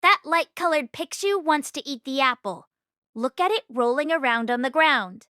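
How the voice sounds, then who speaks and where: A woman voicing an excitable, gushing restaurant customer gawking at a show in an audiobook. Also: high-pitched, moderate pace, dry recording, clean studio sound. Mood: excited. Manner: gushing, exclaiming.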